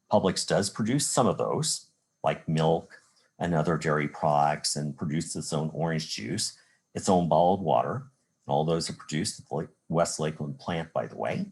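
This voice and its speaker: An American man lectures as a college instructor in a low, husky voice. His pitch moves up and down in a lively way as he explains. He works through examples methodically, one step at a time, restating points as he goes. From Chicago area, United States. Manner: methodical, step-by-step explaining with rhetorical "well" and "again".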